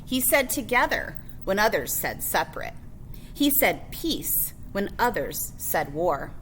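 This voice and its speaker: A woman's voice: mid-range voice, big pitch swings, a little slow, fairly bright tone, poor audio. Woman reading a storybook aloud, background noise. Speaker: librarian reading a book aloud. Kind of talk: read-aloud of a children's picture book biography.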